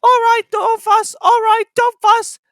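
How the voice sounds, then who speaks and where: A gang of silly, mischievous talking railway trucks in a storybook, squealing far above normal pitch in a loud, bright, heedless clamour. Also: level tone, takes it slowly, no echo, studio quality, exaggerated voice. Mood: grumbling. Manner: screaming, giggling, heedless chatter.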